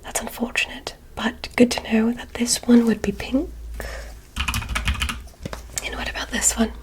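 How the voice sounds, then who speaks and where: A woman voicing a curious, polite alien examiner in a whispered ASMR roleplay, speaking in a soft, deliberate, clinical manner. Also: on the high side, little pitch movement, natural voice. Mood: curious, gentle.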